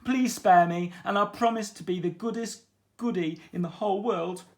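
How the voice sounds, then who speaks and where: A man voicing a greedy, boastful pirate Tyrannosaurus Rex in a children's storybook, loud and gloating. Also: high-pitched, moderate pace, creaky, a little hiss or hum, voice pushed up. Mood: pleading. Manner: loud, gloating, then pleading.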